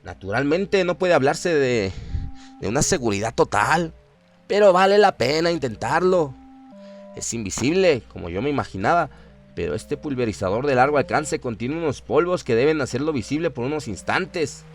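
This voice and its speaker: A man's voice: medium pitch, lively intonation, normal speed, soft background music, natural voice. A man voicing a grave, resolute learned monster hunter in a horror radio drama, solemn and emphatic. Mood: grave, ominous. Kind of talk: audio horror drama dialogue.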